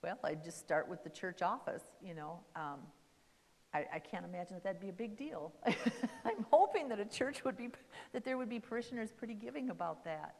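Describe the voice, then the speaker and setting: A woman's voice: medium pitch, lively intonation, fairly quick, gravelly. A woman social worker talking casually at a church caregiver seminar, friendly and rambling with many fillers. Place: church meeting hall. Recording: echoey hall.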